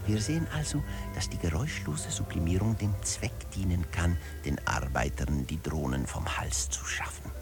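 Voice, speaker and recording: An actor playing a management theorist who lectures with sly satire and emphatic, deliberate phrasing in a radio drama. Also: male voice, low-pitched, animated, music in the background. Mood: wry, instructive. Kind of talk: scripted audio drama lecture.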